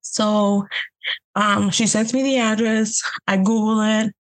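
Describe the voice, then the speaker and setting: A young woman with a Honduran accent talks slowly and unhurriedly as a podcast guest. She pauses often and leans on fillers, and laughter keeps slipping into her casual chat. Her pitch sits in an ordinary middle range. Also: flat delivery, poor audio.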